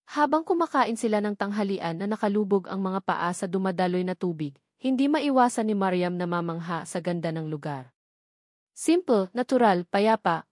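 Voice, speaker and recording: A woman's voice: medium pitch, a little mellow, dry recording, studio quality. A woman narrating a scripted romance story as an audiobook storyteller.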